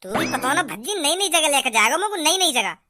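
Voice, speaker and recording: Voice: high voice, sing-song, brisk, very noisy. A performer voicing a grumbling, timid young male cartoon sidekick, complaining in a rustic Braj North Indian accent. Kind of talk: cartoon comedy dubbing.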